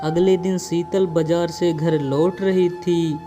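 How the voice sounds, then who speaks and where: A woman's voice: lowish voice, fairly even intonation, slow, over music. A woman narrating a scripted cartoon story as its storyteller.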